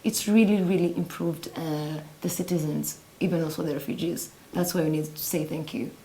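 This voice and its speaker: A woman's voice: low-pitched, steady tone, brisk, mellow, slight background noise, poor audio. A woman answering interview questions as a refugee, a bit hesitant and searching for words.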